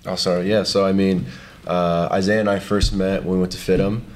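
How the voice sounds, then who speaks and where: A man's voice: low-pitched, flat delivery, slight background noise. A man who is a podcast guest and keeps agreeing and affirming in a casual chat. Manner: agreeable, many affirmations, fast talker.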